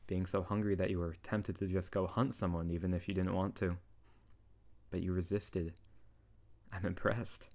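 Casting: male actor as deep-voiced wizard boyfriend, tsundere, teasing yet tender, for intimate ASMR roleplay. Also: level tone, moderate pace, creaky, a little mellow. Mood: tender, reassuring.

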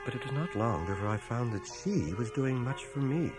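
An actor in a radio drama playing a reflective man narrating his small-town boyhood in a measured, expressive way. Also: mid-range voice, natural voice. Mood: reflective, grave. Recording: home cassette transfer, music underneath.

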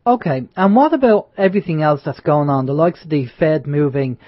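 A man with a high voice hosts an online radio roundtable. He questions guests in a loose, chatty way. The sound is dull and dry, like a call. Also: a little fast, no room sound. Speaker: radio host. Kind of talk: casual roundtable chat.

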